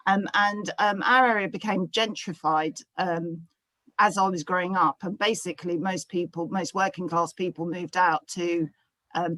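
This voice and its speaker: A woman in her fifties from South London with a London accent, telling her own story in a live discussion and pausing often on fillers. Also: on the low side, a bit bright, dry recording, low-quality recording. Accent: London English. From South London, England. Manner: hesitant, many ums and fillers. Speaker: audience member asking a question. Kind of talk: casual personal remarks in a live discussion.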